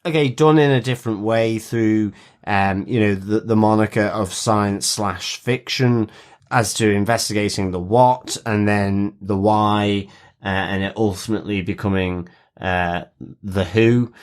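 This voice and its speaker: A man with a somewhat low voice co-hosts a podcast. He speaks very slowly and haltingly, feeling for words as he casually reviews television. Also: steady tone. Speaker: podcast co-host. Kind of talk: casual chat, TV episode review.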